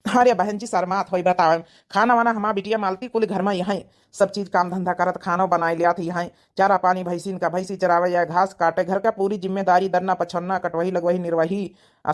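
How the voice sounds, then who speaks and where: A woman's voice: fairly low pitch, fairly even intonation, brisk, close to an everyday voice. A woman voicing a blunt, talkative village father with an Awadhi accent, fond of his daughter, in a cartoon comedy. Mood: proud boasting. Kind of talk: rustic cartoon comedy acting.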